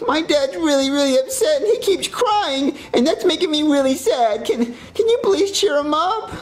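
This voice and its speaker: A man's voice: very high voice, lively intonation, moderate pace. A man voicing a naive, whiny young boy who pleads and stammers earnestly in a comedy skit. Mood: pleading. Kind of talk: comedy skit character acting.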